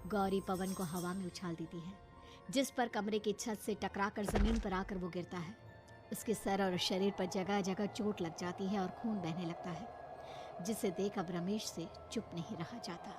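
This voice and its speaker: A woman's voice: mid-range voice, fairly even intonation, normal speed. A woman narrating a scripted horror story for a cartoon. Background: music.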